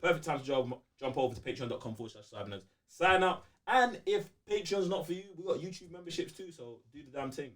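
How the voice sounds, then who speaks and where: A man's voice: on the high side, expressive, fast talker, few pauses. A London-accented man co-hosting a gaming podcast with slangy, emphatic banter, often repeating his phrases. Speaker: podcast co-host.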